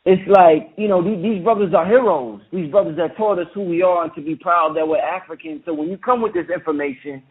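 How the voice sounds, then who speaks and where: A man's voice: high voice, a little fast, few pauses. Hesitant man on a tinny phone line. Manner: hesitant, many fillers. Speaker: host. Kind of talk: casual interview chat.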